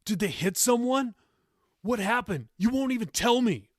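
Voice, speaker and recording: A man's voice: very high-pitched, animated, moderate pace, no room sound, clean studio sound, voice pushed up. A man voicing an angry, callous teenage boy who demands answers in audiobook dialogue. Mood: angry, demanding. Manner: loud, demanding, interrupting.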